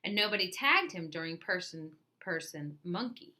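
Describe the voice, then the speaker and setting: Lady doing storytime read-aloud, bright clear voice, lively, kinda low-pitched. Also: moderate pace. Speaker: children's storytime reader. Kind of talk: reading a picture book aloud.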